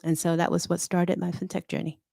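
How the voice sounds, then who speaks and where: A middle-aged woman from Hong Kong talks as an interview guest, candid and confident, telling stories from her career. Her voice sits fairly low and the sound is dry and close. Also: flat delivery, fairly quick, creaky, warm tone, no echo. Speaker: guest, fintech consultant. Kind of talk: interview conversation.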